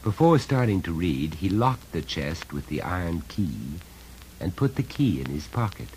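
A man reading a horror short story as scripted narration, in a measured, deliberate and dramatic storytelling manner. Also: deep voice, big pitch swings, darkish tone, slight background noise, poor audio. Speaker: story reader.

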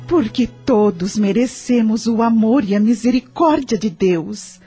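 Woman acting a mellow, husky Brazilian spirit mentor. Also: on the high side, soft background music. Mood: reassuring. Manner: measured, reassuring, didactic. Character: patient, wise female spirit mentor, consoling and instructive. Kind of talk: Brazilian radio drama acting.

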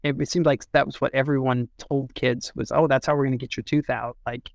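Man, gravelly creak, close dry sound, casual book talk, halting, repeated restarts. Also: medium pitch, fairly even intonation, no room sound. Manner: halting, repeats and restarts phrases while thinking aloud. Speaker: podcast discussion co-host. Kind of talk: casual literary discussion.

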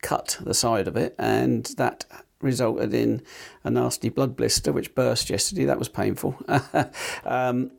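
A man's voice: mid-range voice, fairly even intonation, measured pace, gravelly. A man co-hosting a casual tech podcast, rambling with many fillers and restarts while nursing a sore tongue. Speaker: podcast co-host.

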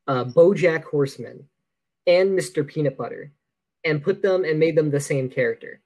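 A man's voice: a little high, moderate pace, darkish tone, rough recording. A man co-hosting a podcast in a casual film discussion, opinionated and critical in how he talks. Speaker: podcast co-host.

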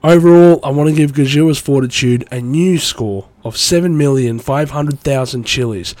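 A man's voice: medium pitch, a little mellow, no echo. A man with a cold hosting his own music review podcast, talking in an enthusiastic, rambling way. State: has a cold. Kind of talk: solo podcast commentary.

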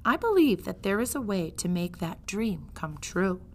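A woman reading a storybook as a suave male rat who flatters with formal, flowery politeness. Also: mid-range voice, moderate pace. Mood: coaxing, persuasive.

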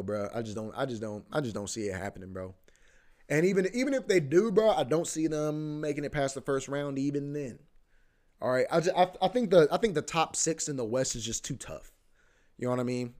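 A man's voice: medium pitch, darkish tone. A man hosting a podcast, rambling casually about sports, repeating himself, swearing and saying "bro" a lot. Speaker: podcast host.

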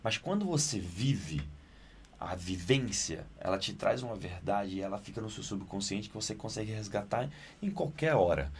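Male actor guest from Naples, lowish voice, animated interview talk, faint room ambience behind. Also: moderate pace, slight background noise. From Naples, Italy. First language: Italian. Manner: animated, rises in pitch when excited. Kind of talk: interview conversation.

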